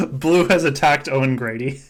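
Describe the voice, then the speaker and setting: A man's voice: fairly high pitch, measured pace. Wry man hosting a casual game stream. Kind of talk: casual joking chat.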